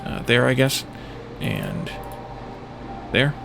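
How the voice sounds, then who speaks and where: A man's voice: medium pitch, huge pitch swings, drawn-out words, gravelly, noisy background. A man giving casual video game commentary as a let's play host, thinking aloud and musing to himself in short fragments. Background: game music.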